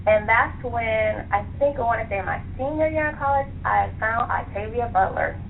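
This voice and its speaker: Higher-voiced woman on a tinny phone line. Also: moderate pace, creaky, slight background noise. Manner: chatty, enthusiastic, laughs easily. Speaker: author being interviewed. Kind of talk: lively conversational interview. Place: phone call to internet radio show.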